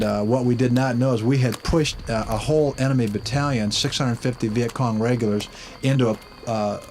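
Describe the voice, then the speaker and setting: A male war veteran giving a first-hand recollection in a halting, pausing way. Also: medium pitch, a little mellow. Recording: clear background noise.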